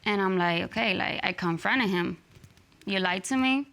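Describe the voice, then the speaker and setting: A woman's voice: medium pitch, normal speed, a bit bright. A young woman with a Cuban accent and Spanish as her first language, telling her side conversationally in a TV court. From Cuba. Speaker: litigant on a TV court show. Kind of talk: conversational testimony. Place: TV studio courtroom.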